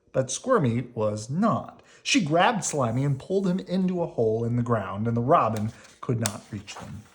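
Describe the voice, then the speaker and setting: A woman reading a children's storybook aloud in an expressive, dramatic way. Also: rumbling low. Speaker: storybook reader. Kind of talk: children's read-aloud narration.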